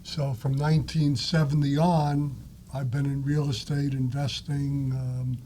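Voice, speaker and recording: An older man with a New York accent who speaks haltingly, with many fillers. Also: mid-range voice, little pitch movement, warm tone, slight background noise. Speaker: guest, lender. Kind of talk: interview answers.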